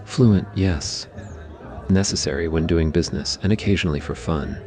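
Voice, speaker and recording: A man voicing a suave, teasing, affectionate mafia boss in a low murmur for a romantic ASMR roleplay. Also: moderate pace, darkish tone, natural voice. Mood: teasing, flirtatious.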